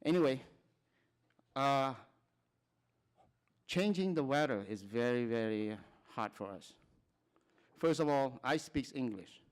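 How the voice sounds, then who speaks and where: Smooth-voiced man from Cambodia, halting. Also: mid-range voice, animated. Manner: plain, halting talk with frequent restarts and short laughs. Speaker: conference speaker. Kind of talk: personal testimony at a conference.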